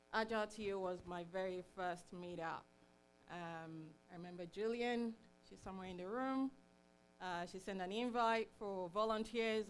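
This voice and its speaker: A woman's voice: medium pitch, fairly bright tone, a little hiss or hum, low-quality recording. A middle-aged woman giving an informal live presentation at a meetup, chatty and self-deprecating as she addresses the room.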